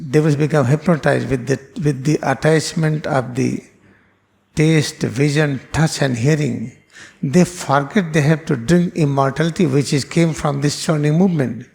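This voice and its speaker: Man with gravelly voice. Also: on the high side, a little mellow. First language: Hindi. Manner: earnest explaining, repeats phrases, non-native phrasing. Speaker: spiritual teacher. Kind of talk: spiritual class lecture.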